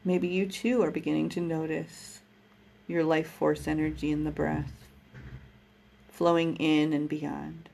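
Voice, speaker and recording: A woman guiding a breathing meditation, speaking in a slow, soothing, gentle way. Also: deep voice, level tone, vocal fry. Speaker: meditation guide.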